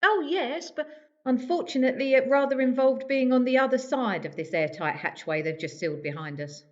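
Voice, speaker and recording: A woman playing a breezy, quick-witted alien hitchhiker with glib, coaxing patter in a comic amateur radio drama. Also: high voice, lightly acted. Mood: flippant, resigned. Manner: glib, persuasive, broken-up patter. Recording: dull, echoey home recording.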